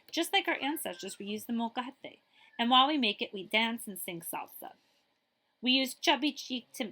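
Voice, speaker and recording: A woman's voice: a little high, a bit bright. A woman school librarian reading a children's picture book aloud expressively, with chatty asides to her listeners.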